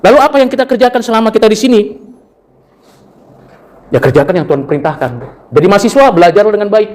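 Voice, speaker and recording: Indonesian guy preaching, tells stories, does the voices, kinda high pitched. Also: fast. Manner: animated storytelling, acts out quoted voices. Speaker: preacher and university lecturer. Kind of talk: sermon with personal stories.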